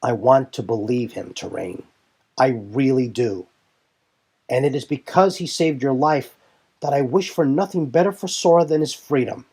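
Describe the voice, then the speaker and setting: A man voicing a solemn, resolute warrior leader in a fan audio drama, speaking in formal, earnest, deliberate phrases. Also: medium pitch, darkish tone, breathy edge, voice pushed up. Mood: earnest, apologetic.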